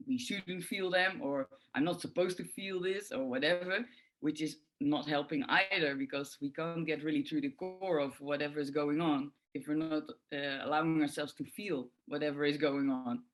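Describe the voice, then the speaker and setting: A woman's voice: low-pitched, moderate pace, poor audio. Woman, Dutch accent, middle-aged, reflective guest, frequent hesitations. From Netherlands. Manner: thoughtful, many filler uhs. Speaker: forgiveness facilitator, guest. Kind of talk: reflective interview answers.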